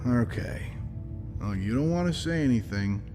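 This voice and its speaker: A hard-boiled police detective , played by a man, sardonic and pressing, with a mid-pitched voice. It is noir audio drama, reverberant, with music clearly playing behind him. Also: expressive, normal speed, natural voice. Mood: taunting.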